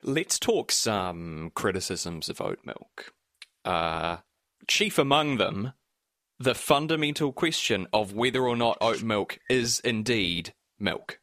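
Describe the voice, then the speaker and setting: A New Zealand man hosts a podcast in a slightly husky voice. His pitch sits on the low side, and he moves between presenting and putting questions. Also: very animated, slow pace, pushed and bright, dry recording, studio quality. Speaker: podcast host. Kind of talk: podcast narration and interviewing.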